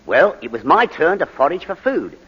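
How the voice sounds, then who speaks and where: An actor playing a blunt, slangy ex-soldier on the witness stand in a 1940s radio drama. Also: male voice, fairly high pitch, sing-song, moderate pace, a bit bright, husky, heavily acted. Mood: matter-of-fact. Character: blunt, shifty English ex-soldier testifying in court. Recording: muffled old 1940s radio recording.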